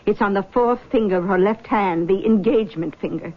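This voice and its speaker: A woman's voice: mid-range voice, brisk, natural voice. Actress doing an anxious mother, worried, pleading, protective, old radio play, lo-fi. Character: anxious middle-aged mother, fretful and protective. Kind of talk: 1970s radio drama acting. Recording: dull old radio broadcast.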